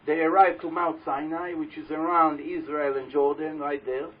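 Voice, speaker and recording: A man with a loud voice giving a religious talk to a live audience, telling a story and putting questions to the listeners. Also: on the high side, flat delivery, moderate pace. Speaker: religious teacher speaking to an audience. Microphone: handheld or stand microphone. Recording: dull lo-fi live recording.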